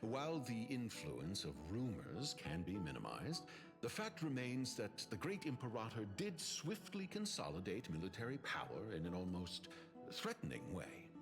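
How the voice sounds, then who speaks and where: An actor playing a dignified, loyal lord in a video game, speaking in a measured, formal manner. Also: male voice, on the low side, huge pitch swings, music in the background. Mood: solemn, admiring. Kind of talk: video game character acting.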